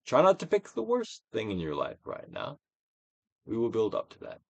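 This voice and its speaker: A man giving a dramatic, deliberate reading of a self-help book as a guided exercise, with pauses and big swells. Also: medium pitch, wildly swooping pitch, gravelly, no room sound. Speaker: audiobook reader and actor.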